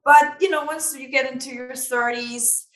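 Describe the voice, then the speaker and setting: A woman's voice: high voice, steady tone, moderate pace, a bit bright. A woman from Austria, a native German speaker, giving casual, explanatory interview answers as a business founder, peppered with "you know". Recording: roomy, echoey sound.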